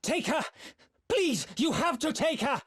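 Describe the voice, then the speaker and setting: A man's voice: very high voice, sing-song, unhurried, a bit bright, exaggerated voice. A man playing a proud, wry scholarly mage in a video game cutscene, speaking in formal, archaic phrases. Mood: urgent, commanding.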